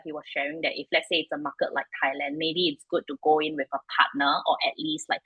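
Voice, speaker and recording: A woman's voice: sits low, gravelly, fairly bright tone, husky, dry recording, poor audio. A woman in her thirties from Singapore with a Singaporean English accent, a startup founder answering interview questions frankly, in a loose, chatty way full of fillers. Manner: frank, chatty, many fillers like "like" and "you know".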